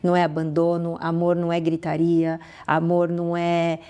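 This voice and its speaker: A woman's voice: fairly low pitch, completely flat delivery, moderate pace, warm tone, clean tone. A woman with a Brazilian Portuguese accent, a podcast guest telling stories earnestly, with frequent restarts and sudden rises in emphasis. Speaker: guest, founder of a social fashion project. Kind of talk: conversational podcast interview.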